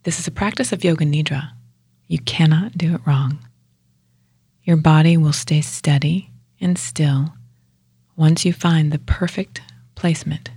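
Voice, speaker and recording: A woman leading a guided yoga nidra meditation as a teacher, hushed and measured, with a breathy, husky voice that often nears a whisper and pauses between short phrases. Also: deep voice, fairly even intonation, no room sound, clean studio sound.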